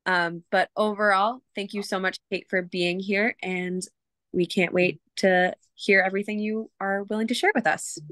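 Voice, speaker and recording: A woman's voice: mid-range voice, level tone, normal speed, a bit bright, no room sound. A woman giving an informal introduction as host of an online session. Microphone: video call.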